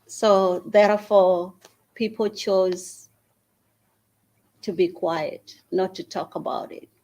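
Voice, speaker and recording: A woman from Uganda, the founder of a small charity, gives an earnest speech at an event and makes an appeal with long pauses. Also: medium pitch, a little mellow. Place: event hall at a university.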